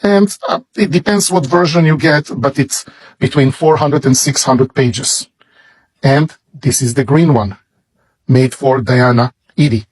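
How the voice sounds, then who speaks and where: A man with a Hebrew accent, a native Hebrew speaker, slowly and reverently showing off a bible as a product. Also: mid-range voice, slightly rough, poor audio. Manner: slow, deliberate, reverent. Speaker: seller presenting a bible. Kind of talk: product demonstration.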